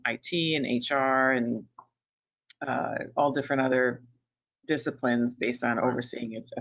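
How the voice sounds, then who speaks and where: A woman in her fifties talks in a loose, chatty way, full of fillers and restarts. Her voice is gravelly and bright with an edge of tension. It comes over a video call with muffled webinar audio. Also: rumbling low. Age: 50s. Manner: chatty, many fillers and restarts, quick to laugh. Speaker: resume writing business owner and panelist. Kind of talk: conversational webinar discussion.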